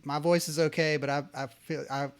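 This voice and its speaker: A man with a fairly high voice hosts a podcast interview. He talks in a casual, enthusiastic way and shares his own experience with his guest. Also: flat delivery, moderate pace, clean tone. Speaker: podcast host.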